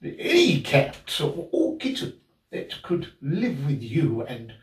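An older man playing a pompous, self-important Victorian parish beadle in theatrical comic style, with a halting delivery. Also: fairly high pitch, huge pitch swings, exaggerated voice. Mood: courting, flustered. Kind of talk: theatrical period comedy acting. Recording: roomy, distant camera microphone.

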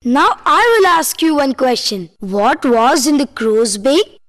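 High, slow woman teaching children. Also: animated, a bit bright. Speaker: children's lesson presenter.

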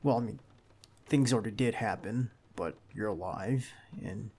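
A man playing a protective, reassuring bodyguard in an intimate ASMR roleplay, speaking in a hushed, halting, gravelly voice close to the listener. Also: lowish voice. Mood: relieved, reassuring. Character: protective young male bodyguard, calm and reassuring.

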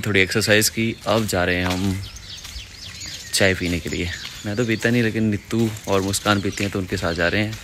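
A man's voice: fairly low pitch, noisy background. A man vlogging casually from a water-sports camp, showing viewers around and chatting. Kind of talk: casual vlog narration.

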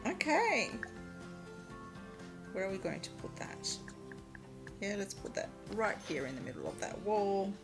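A woman with a mixed, mostly Australian English accent, whose first language is from Eastern Europe, chatting casually as she plays a mobile game and reads its prompts aloud. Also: medium pitch. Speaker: let's play host. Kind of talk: casual gameplay commentary.

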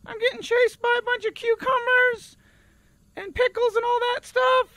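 A man's voice: very high voice, little pitch movement, moderate pace, sharp tone, dry recording, heavily acted, low-quality recording. A man voicing an anxious, eager little auditioner who babbles and repeats himself in improvised game comedy. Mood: nervous, eager. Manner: babbling, repetitive, naive.

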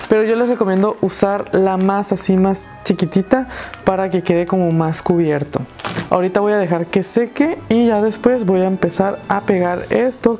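Chatty Mexican woman with a mellow voice. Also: mid-range voice. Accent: Mexican Spanish. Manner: chatty and encouraging, addresses viewers directly. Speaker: craft tutorial host. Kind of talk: casual DIY tutorial talk.

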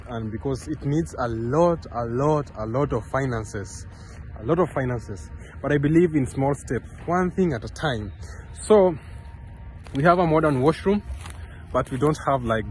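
A man's voice: medium pitch, slight background noise. Earnest young man vlogging outdoors. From Kenya. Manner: earnest, rambling, with many restarts and fillers. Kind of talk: casual vlog talk to camera. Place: outdoors on a park path beside a road. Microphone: phone camera held close.

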